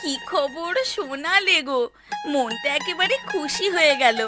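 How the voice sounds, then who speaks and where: Woman acting a high, gleefully spiteful cartoon wife. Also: animated, unhurried, music in the background. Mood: gloating pleasure. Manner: coy, gleeful exclaiming. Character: spiteful, food-loving village wife delighting in gossip.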